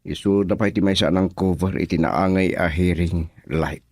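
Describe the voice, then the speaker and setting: A man playing a brooding, scheming man in an Ilocano radio drama monologue, with a husky voice and an Ilocano accent. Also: low-pitched, fairly even intonation, moderate pace, a little mellow, dry recording.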